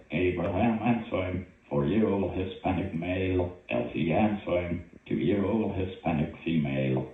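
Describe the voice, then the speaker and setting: Deep synthetic male emergency alert voice. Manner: flat, stilted synthetic delivery. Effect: synthetic text-to-speech voice. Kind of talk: automated emergency alert readout. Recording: phone-like narrowband, distant, echoing, faint noise.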